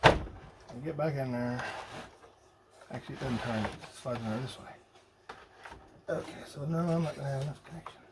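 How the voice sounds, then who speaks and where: A man narrating a hands-on DIY job casually, halting and muttering to himself as he works. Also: medium pitch, heavy background noise. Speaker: vlogger building a radio kit.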